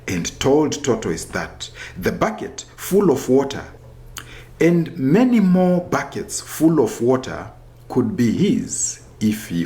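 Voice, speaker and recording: Slow, animated male storyteller. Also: mid-range voice. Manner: deliberate, expressive storytelling. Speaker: storyteller reading a folktale. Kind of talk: scripted storybook narration.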